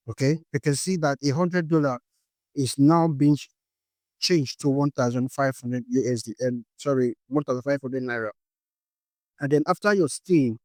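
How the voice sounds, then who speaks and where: A man's voice: mid-range voice, dry recording. Nigerian man teaching, saying "okay?" often. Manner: explains step by step, tags lines with "okay?". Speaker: forex trading instructor. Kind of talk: explanatory course lesson.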